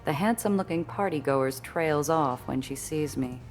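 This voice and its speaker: A woman's voice: deep voice, normal speed, a little mellow, soft background music, natural voice. A woman voicing a cool, observant heroine, narrating her own story in a scripted, wry way for a video game. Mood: composed. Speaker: narrator and protagonist.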